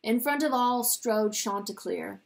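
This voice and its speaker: A woman reading a literary fable as a dramatic narrator, with solemn, measured and deliberate phrasing. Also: fairly high pitch, gravelly. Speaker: audiobook reader.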